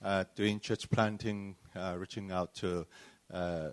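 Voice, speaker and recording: Man, from Malaysia, very deep voice, halting delivery, pastor sharing testimony, dry close sound. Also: flat delivery, clear, resonant tone, no room sound. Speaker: church planting pastor. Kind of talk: personal testimony at a panel discussion.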